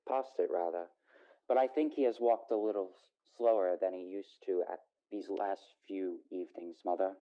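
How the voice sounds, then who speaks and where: An actor playing an earnest, gentle young son of a poor family in a solemn radio play. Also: male voice, low-pitched, steady tone, moderate pace, lightly acted. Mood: gentle, subdued. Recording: tinny phone-like lo-fi sound.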